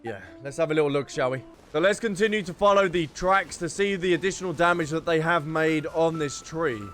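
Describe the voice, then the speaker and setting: High-pitched, expressive male gaming streamer. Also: brisk. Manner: expressive, exclamatory. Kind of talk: casual let's play commentary, reacting to a game. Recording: voice over game music.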